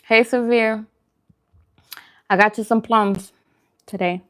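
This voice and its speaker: A woman's voice: a little high, fairly even intonation, takes it slowly. Chatty woman hosting a casual livestream. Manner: chatty, friendly, greets viewers affectionately. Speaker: host of a food livestream. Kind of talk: casual livestream chat answering viewer comments, mukbang.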